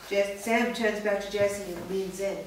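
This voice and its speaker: A woman's voice: mid-range voice, steady tone, a little slow. Woman narrating, very echoey room. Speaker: stage directions reader. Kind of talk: scripted narration, staged reading. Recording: echoey room, distant mic, faint noise.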